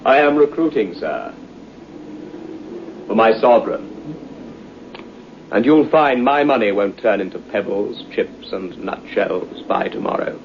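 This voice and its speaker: Very deep, suave devil. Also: male voice, animated. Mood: smooth, persuasive. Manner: measured, commanding, formal. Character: suave, menacing gentleman devil recruiting souls. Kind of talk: old-time radio drama acting. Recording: dull, noisy old radio recording.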